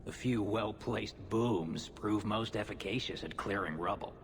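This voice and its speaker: A man playing a gruff, proud dwarf in a video game, with a hearty, blunt manner. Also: fairly low pitch, a little slow. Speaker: dwarf explosives expert in a fantasy game. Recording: noisy, echoing cavern ambience.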